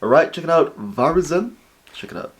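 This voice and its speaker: A man's voice: a little high, lively intonation, fairly quick, slight background noise. A man hosting a reaction video, chatting and rambling casually to his viewers.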